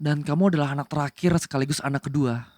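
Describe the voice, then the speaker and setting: A man playing a young demon prince in a romantic ASMR roleplay, soft-hearted but bossy, with flustered, possessive tsundere manners. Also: on the high side, steady tone, fast talker, no room sound, lightly acted. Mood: wistful, soft. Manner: stammering, flustered denials, possessive commands.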